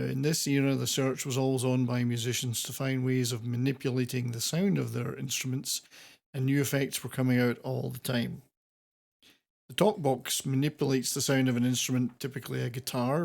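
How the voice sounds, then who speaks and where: A man's voice: mid-range voice, vocal fry, darkish tone. A man hosting a semi-scripted countdown commentary on classic rock, with wordy, playfully florid presenter phrasing. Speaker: host of a classic rock video channel.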